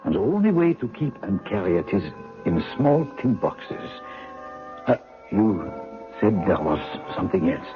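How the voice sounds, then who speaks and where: An actor playing a shrewd, loyal officer in an old-time radio drama, with a deliberate, conspiratorial delivery. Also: male voice, on the low side, big pitch swings. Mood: calculating. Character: shrewd, loyal French officer plotting an escape. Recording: tinny, band-limited old radio broadcast, music.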